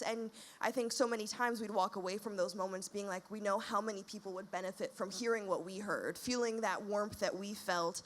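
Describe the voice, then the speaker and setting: Reflective woman panelist, mid pitch. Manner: reflective, explains ideas at length. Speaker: podcast co-host on a panel. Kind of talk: panel discussion.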